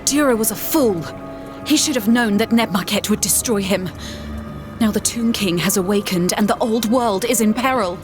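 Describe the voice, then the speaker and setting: A woman's voice: mid-range voice, moderate pace, over music, close to an everyday voice. A woman voicing an earnest, learned female scholar who explains spells and gives quest directions in a game. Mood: grave. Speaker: quest giver.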